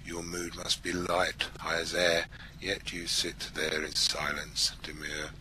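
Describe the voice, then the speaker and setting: A man's voice: deep voice, completely flat delivery, slow, pushed and bright, a little hiss or hum. A man reciting a poem aloud in the style of a poetry reading.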